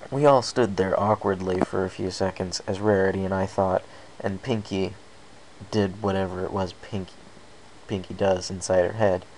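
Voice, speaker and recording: A woman's voice: extremely low pitch, fairly even intonation, moderate pace, a little mellow, a little hiss or hum, poor audio. A woman reading first-person fan fiction aloud as an amateur audiobook narrator.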